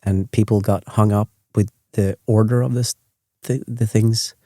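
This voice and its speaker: A man with a Swedish accent, English his second language, drifts through slow, meandering bedtime talk in a deep, mellow voice that barely rises or falls. He keeps correcting himself and wandering off the point. The sound is dry and close. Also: steady tone, no room sound. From Sweden. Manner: rambling, self-correcting, gently chatty. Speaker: sleep podcast host.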